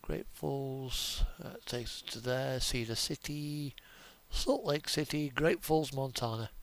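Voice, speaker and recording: A man's voice: mid-range voice, takes it slowly. A man giving casual, rambling commentary as he plays a game, often muttering and thinking aloud to himself. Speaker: gaming video host.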